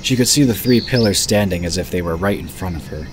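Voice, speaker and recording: A man with a deep, low voice narrates a scripted fantasy audio drama. He tells the story in the third person, with birds and crickets clearly audible behind his voice. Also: lively intonation, quick pace. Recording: background noise of birds and crickets.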